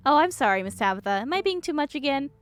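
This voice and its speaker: A woman's voice: high voice, very animated, fast, pitched above the performer's own voice. A woman voicing a sweet, eager, chatty small-town cleaning woman in a game, gushing and folksy. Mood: apologetic.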